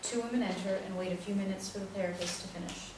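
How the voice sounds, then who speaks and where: A woman's voice: mid-range voice, steady tone, quick pace. A woman reading scripted stage directions as the narrator of a play. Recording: very echoey room, distant mic, noisy.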